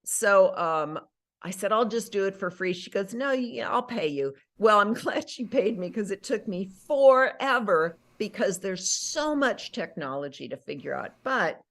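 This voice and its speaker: A middle-aged woman, an actress and audiobook narrator, chatting casually in an interview, animated and full of fillers and self-corrections. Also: mid-range voice, a little slow.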